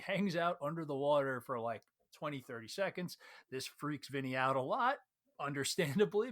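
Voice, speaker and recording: A man giving a casual, conversational recap of a story as a read-along host, retelling it in an animated way. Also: on the high side.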